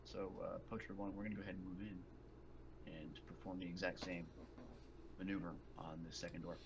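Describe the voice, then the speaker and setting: A man's voice: deep voice, level tone, normal speed. A man playing a squad leader in a tabletop game, giving tactical orders to the team. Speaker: player acting as squad leader. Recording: muffled, noisy microphone.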